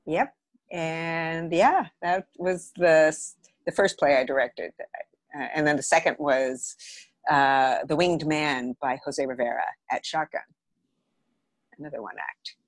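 Woman, American accent, low pitch, dry recording, casual interview guest, storytelling. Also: a little slow, no room sound. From Los Angeles area, United States. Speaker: podcast guest, theatre director. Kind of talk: casual interview, telling career stories.

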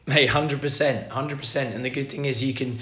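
A male podcast host with a hoarse, croaky voice, talking in an upbeat, informal way. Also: medium pitch, fairly even intonation, brisk, sharp tone, highs cut off. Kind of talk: casual podcast chat.

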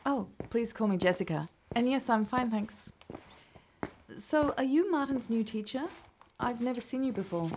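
A woman's voice: a little high, lively intonation, moderate pace, a little mellow, a little hiss or hum. A woman with an Australian accent playing a worried, defensive mother in a radio drama. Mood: polite. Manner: indignant, emotional.

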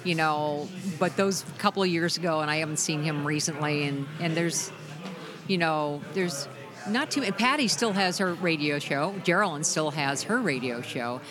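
A middle-aged woman with a deep voice, a musician guest chatting loosely in an interview, full of restarts and "you know". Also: moderate pace, crowd noise. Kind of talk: casual interview chat.

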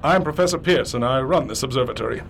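Curt, irritated academic in charge, average pitch, radio spoof, clearly noisy scene. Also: male voice, fast, fairly bright tone. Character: gruff, irritated observatory director, a male professor. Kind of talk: 1930s radio play spoof acting.

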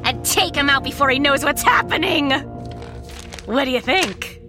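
A woman playing a brash, excitable teenage girl, a shapeshifting sidekick in an audiobook drama, cheeky and casual. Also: sits high, moderate pace, music in the background. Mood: gleefully scheming. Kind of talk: full-cast audiobook drama.

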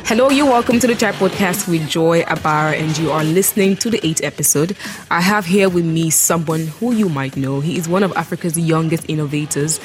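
Woman podcast host, lively, with faint music. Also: low voice, fairly quick. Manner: lively, laughs often, reads guest biography. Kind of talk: podcast interview.

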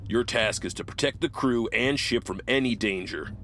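A man acting as a stern military commander briefing a crew, formal and deliberate, in a sci-fi audio drama. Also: medium pitch, bright, forceful tone, slight background noise, close to an everyday voice.

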